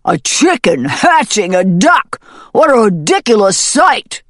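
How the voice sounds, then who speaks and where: A woman's voice: mid-range voice, very animated, a little slow, no echo, clean studio sound, voice pushed up. A woman voicing a mocking farmyard dog who taunts and barks his lines. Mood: taunting. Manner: mocking, barking.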